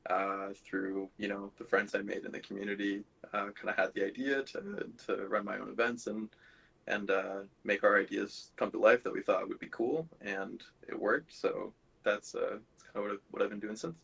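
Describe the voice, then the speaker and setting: A man's voice: fairly low pitch, steady tone, moderate pace, vocal fry, fairly bright tone, husky, low-quality recording. A man from Canada chatting casually as a podcast guest, laid-back and informal. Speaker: podcast guest, event organiser. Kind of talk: casual chat.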